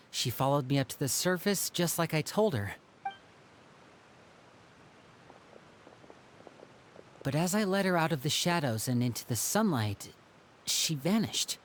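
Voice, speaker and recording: Young magician, thoughtful storyteller, expressive pitch. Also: male voice. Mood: reflective. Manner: storytelling, reflective. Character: young male stage magician, thoughtful and earnest. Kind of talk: video game voice acting. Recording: game audio over soft music.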